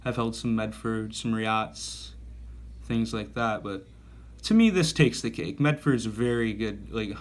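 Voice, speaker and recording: A man's voice: lowish voice, a little slow. A man in his thirties giving a casual gear review, talking in a rambling, self-correcting and enthusiastic way. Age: 30s. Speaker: knife reviewer.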